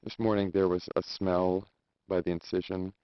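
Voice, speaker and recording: A subdued male patient, played by an actor, speaking self-consciously. His voice is very low, and the dry recording is a little muffled. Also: little pitch movement, normal speed, no room sound, lightly acted. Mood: uneasy, confessional. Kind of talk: live-action game acting. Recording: dull lo-fi game video audio.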